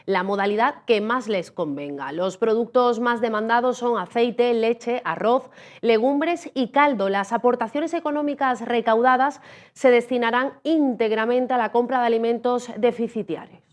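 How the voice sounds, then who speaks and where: Woman, news anchor, formal measured read, slightly high voice. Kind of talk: scripted news reading. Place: TV studio.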